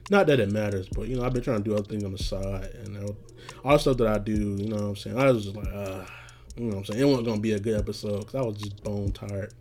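A young man hosting a casual solo podcast, rambling in a laid-back, slangy way with many fillers, his voice going raspy. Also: lowish voice, fast talker, a little mellow, a little hiss or hum. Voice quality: getting raspy. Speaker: podcast host.

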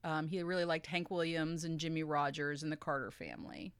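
Podcast co-host, woman, young adult, low-ish voice, deadpan storyteller, dead-dry close mic. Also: a little fast, clear, resonant tone, no room sound. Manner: dry, matter-of-fact storyteller. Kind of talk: casual chat, reading history notes.

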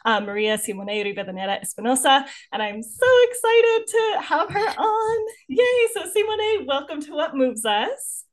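A young woman hosting a podcast, bubbly and quick to laugh, chatting with a friend. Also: high voice, sing-song. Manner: bubbly, laughs often, lots of "like" and "yay". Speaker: podcast host. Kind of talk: upbeat podcast chat.

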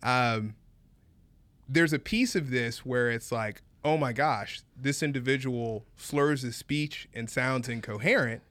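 A man co-hosting a casual chat, animated, repeating himself and laughing often. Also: medium pitch, takes it slowly, no echo. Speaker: podcast co-host.

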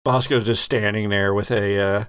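A man's voice: lowish voice, fairly even intonation, normal speed, fairly bright tone, clear, resonant tone, no echo. Man game master, dry close sound. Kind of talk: improvised narration of a tabletop role-playing game.

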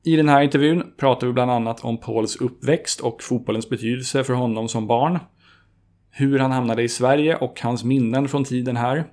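A man's voice: mid-range voice, darkish tone. A male podcast host reading a scripted introduction before an interview. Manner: reads a prepared introduction.

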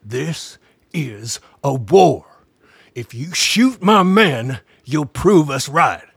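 A big, defiant militia leader in a radio play. He declaims very slowly in a rough, creaky voice that swings widely in pitch, full of belligerence. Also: big pitch swings, fairly bright tone, no room sound, clean studio sound, exaggerated voice. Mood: defiant, strained. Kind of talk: radio drama acting.

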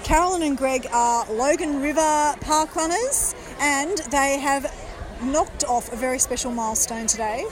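Aussie podcast host lady, high voice, crowd noise all around, upbeat field report. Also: a little slow. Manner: upbeat, chatty. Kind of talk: roving field report and interview. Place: outdoors at a crowded park event. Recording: crowd chatter behind.

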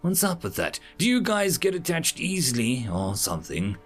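A man's voice: fairly high pitch, sing-song, moderate pace, soft background music, pitched above the performer's own voice. A man voicing Patricia, a wry, impatient young woman mechanic in a storybook reading. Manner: dry, impatient.

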